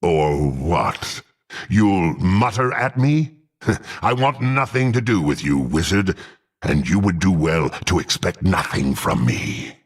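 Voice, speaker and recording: An actor playing a brutish, proud half-orc warrior in a video game, gruff and scornful, speaking with haughty deliberation. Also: male voice, deep voice, sing-song, slow pace, exaggerated voice. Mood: mocking scorn. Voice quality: gruff, gravelly.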